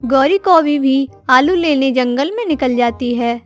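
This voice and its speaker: A female narrator tells a scripted children's story. Her voice is high, with faint music playing behind it. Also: measured pace.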